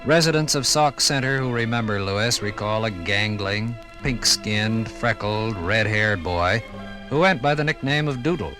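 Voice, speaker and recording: An American man narrating a scripted 1950s radio documentary with formal, measured, dramatic phrasing. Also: on the low side, over music, poor audio.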